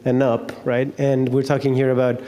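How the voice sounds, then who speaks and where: Man moderator, mellow and flat, rambling questions, echoey hall. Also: medium pitch, moderate pace. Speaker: panel moderator. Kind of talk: moderating a panel discussion.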